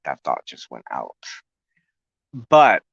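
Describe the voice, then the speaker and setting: Slow, halting man with a bright voice. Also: rumbling low, huge pitch swings, vocal fry, breathy edge, no echo, highs cut off. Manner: halting, pausing often mid-sentence while searching for words. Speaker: host of a spiritual talk show. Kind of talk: loose explanatory talk on a spiritual broadcast.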